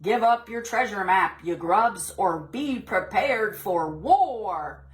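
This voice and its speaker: A woman voicing a blustering male rogue pirate captain in a storybook, loud and commanding. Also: mid-range voice, lively intonation, slow. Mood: threatening.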